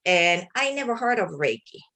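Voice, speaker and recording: A woman from Japan, a native Japanese speaker with a Japanese accent, speaking as an interview guest in earnest, halting non-native English with pauses. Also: medium pitch, big pitch swings, pushed and bright. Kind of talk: casual conversation.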